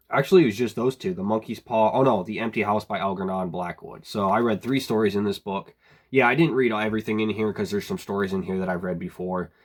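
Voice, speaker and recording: A man with a slightly hoarse voice from a cold, chatting casually in a book review vlog, rambling with many fillers and clearing his throat now and then. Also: fairly low pitch. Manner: relaxed, rambling, frequent "like" and "um", clears throat often.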